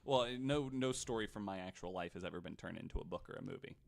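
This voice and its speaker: A man's voice: sits low, quick pace, creaky. A man hosting a casual podcast with joking, easygoing banter. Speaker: podcast host. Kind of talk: casual banter and show sign-off.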